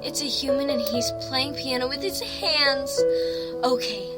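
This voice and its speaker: A woman voicing an emotional, excitable young female pony in a dramatic reading of a fan fiction story. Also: high-pitched, measured pace, over loud music. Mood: excited, nervous.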